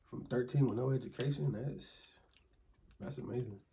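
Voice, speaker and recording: A young man with an ordinary mid-range voice sits in on a relaxed chat and drops in short, easygoing comments. His delivery stays low-key and unhurried. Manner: laid-back, brief interjections. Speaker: vlogger's husband, co-host. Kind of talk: casual chat.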